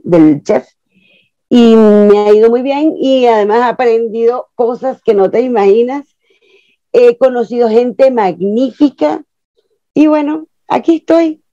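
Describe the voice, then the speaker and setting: A woman's voice: medium pitch, dry recording. A woman in her sixties from Venezuela, an actress chatting expressively as a guest over a video call from a small room at home. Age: 60s. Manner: expressive, animated storyteller with lots of hand gestures. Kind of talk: casual interview chat. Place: small room at home, plain wall behind. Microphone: earphone mic on a video call. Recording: dull, lo-fi video call audio.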